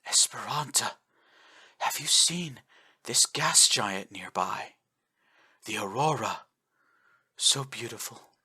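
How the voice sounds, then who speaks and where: A man voicing a dying admiral, speaking in a soft, raspy, plodding voice that stalls and breaks off between words. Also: mid-range voice, full character voice. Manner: slow, halting, quiet, breaking off mid-sentence. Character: aging male admiral, dying, fading over comms.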